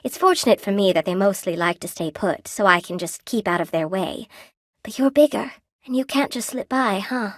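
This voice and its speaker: An actress voicing a friendly, lonely weaver spider woman in a video game, with a gentle, quaint, expressive and chatty manner. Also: mid-range voice, moderate pace, dry recording, clean studio sound, lightly acted. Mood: chatty, wry. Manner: gentle, quaint, folksy, expressive, self-effacing. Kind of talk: video game character acting.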